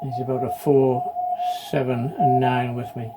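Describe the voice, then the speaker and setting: A man from New Zealand, an amateur radio operator, talking casually and haltingly as he demonstrates his station. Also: mid-range voice, little pitch movement. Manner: halting, hesitant. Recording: clear radio static and background noise. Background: amateur radio receiver noise.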